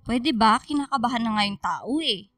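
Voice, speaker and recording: A woman's voice: on the high side, animated, moderate pace, a bit bright, dry recording. A woman playing an anxious, testy teenage schoolgirl in a Filipino radio drama. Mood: irritated.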